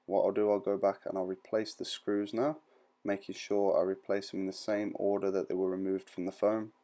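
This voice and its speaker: Low, creaky-voiced man giving instructions. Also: level tone, fairly quick, dark tone. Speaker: repair tutorial presenter. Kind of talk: informal step-by-step instructional voice-over.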